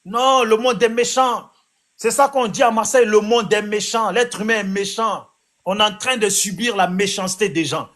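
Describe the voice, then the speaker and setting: High-voiced rambling man. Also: moderate pace, a bit bright. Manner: rambling, flustered, repeats himself. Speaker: debate participant. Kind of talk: heated online debate.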